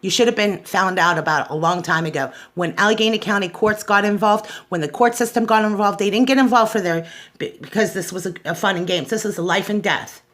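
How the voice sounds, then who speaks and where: A middle-aged woman with an American accent and a medium-pitched voice talks off the cuff about personal matters. She is outspoken and aggrieved, leaning hard on words and repeating phrases to drive them home. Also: fairly quick, fairly bright tone. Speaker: host of a personal awareness show. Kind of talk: unscripted personal monologue, testimonial.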